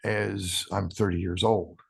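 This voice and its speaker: A man in his sixties, a podcast guest talking casually in a halting way, restarting sentences with ums. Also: low voice, little pitch movement, creaky, breathy edge. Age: 60s. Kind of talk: casual interview talk.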